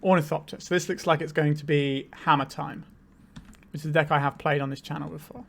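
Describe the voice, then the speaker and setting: A man with a slightly higher than usual voice talks through a card game in a relaxed, explanatory way, thinking aloud as he goes. He has a cold and sounds a little tired. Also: a little fast, creaky. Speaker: channel host, card game player. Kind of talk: casual gameplay commentary.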